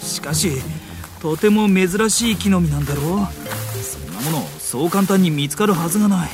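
A man's voice: high-pitched, sing-song, moderate pace, music in the background. A man voicing a serious older brother who speaks stiffly and gets irritated easily, in a drama CD. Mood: exasperated. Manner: stiff, formal, exasperated. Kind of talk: anime drama CD acting.

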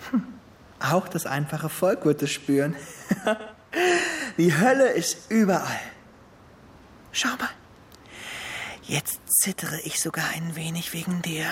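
An actor playing a sadistic crime boss in a radio drama, gloating and taunting theatrically, with savoring pauses and swings between loud and hushed. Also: male voice, fairly high pitch, slight background noise. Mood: amused, menacing. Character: sadistic male crime boss gloating over his victim. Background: quiet music.

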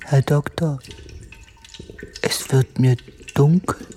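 A haunted, fatalistic soldier, acted by a woman in a radio drama. The voice is low and very slow, halting and often whispered. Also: steady tone, warm tone, slight background noise. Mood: weak, trembling. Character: tormented, haunted poor soldier, servile and fatalistic. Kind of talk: theatrical German radio drama acting.

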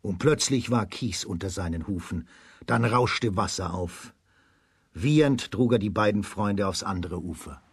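A man narrating a children's radio play as a scripted storyteller, in a husky voice. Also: on the low side, a little slow, dry recording.

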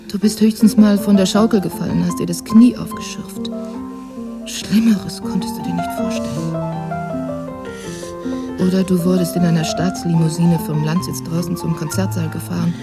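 An actress plays a battered woman prisoner, once a celebrated pianist. She speaks inwardly in broken, bitter fragments at a medium pitch. Music plays behind her radio drama monologue. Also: close to an everyday voice. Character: battered, traumatised imprisoned concert pianist, proud and bitter woman. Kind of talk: German radio drama acting, interior monologue.

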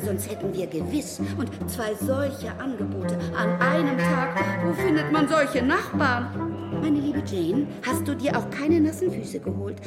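A very high, swooping female voice plays a scatterbrained, kindly spinster in a radio drama. She gushes and chatters without pause, leaving thoughts unfinished, with music playing prominently behind her. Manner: gushing, breathless rambling, broken-off sentences. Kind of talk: German radio drama acting.

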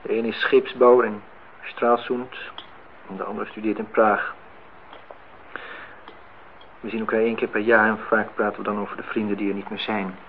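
An actor playing a brooding, insistent, melancholy engineer in a radio play. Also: male voice, on the low side, little pitch movement, normal speed, pauses often, close to an everyday voice. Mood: brooding, wistful. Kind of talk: radio play dialogue and monologue. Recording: muffled, noisy old radio-play recording.

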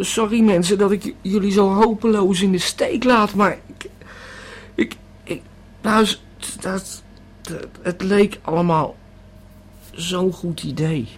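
Audio drama: male actor as bewildered, panicky tourist on a bad trip, fairly high voice, halting and slow delivery with repeated words. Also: breathy edge, heavily acted. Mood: sheepish regret. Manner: stammering, hesitant, repeating words. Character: panicky, bewildered male holidaymaker tripping on a pill. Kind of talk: first-person audio drama monologue.

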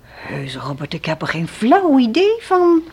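A anxious, defensive woman in a radio play. Her voice is fairly high and very changeable in pitch. She sounds earnest and hesitant as she protests. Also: huge pitch swings, unhurried, darkish tone, husky, heavily acted. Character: anxious, defensive woman under police questioning. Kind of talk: Dutch radio play dialogue.

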